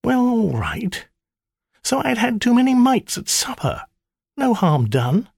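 A cheeky male spirit in the guise of a flirtatious maiden, as a man puts her on in a high falsetto-ish lilt. The voice swoops and dances through a lively range, coy and wheedling, with a dry close sound. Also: measured pace, vocal fry, breathy edge, no room sound, full character voice. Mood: surly. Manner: coy, wheedling, mock-sweet. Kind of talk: audiobook character voice.

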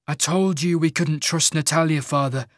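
A man's voice: fairly high pitch, fairly even intonation, normal speed, no room sound, clean studio sound, voice pushed up, lightly acted. A man voicing an earnest young warrior king, an insistent and pleading son in a fantasy audiobook. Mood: quiet resentment. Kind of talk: dramatic fantasy audiobook character.

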